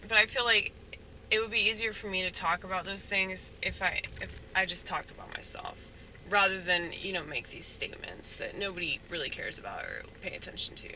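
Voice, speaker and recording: A man rambling in a soft-spoken, halting way, full of fillers, as a stand-up comedian musing aloud. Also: high voice, lively intonation, a little hiss or hum, low-quality recording. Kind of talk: rambling casual monologue.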